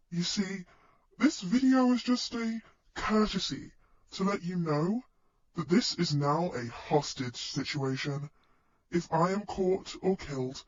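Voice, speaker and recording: Podfic villain, male actor: young strategist, cheerfully menacing, deliberate slow delivery, slightly high pitch, dull sound.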